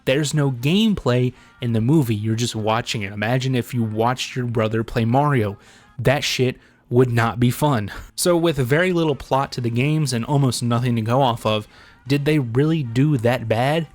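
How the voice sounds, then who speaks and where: A man's voice: medium pitch, normal speed. A man giving casual, opinionated video commentary in a blunt, sarcastic, sweary manner.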